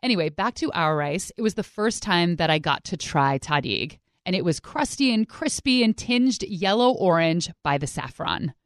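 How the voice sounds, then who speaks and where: A woman narrates and interviews as the host of a food show, in a conversational, informal storytelling way. Her pitch moves around in a lively way, and the studio sound is dry and close. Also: normal speed, few pauses, no room sound. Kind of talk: scripted narration mixed with casual interview.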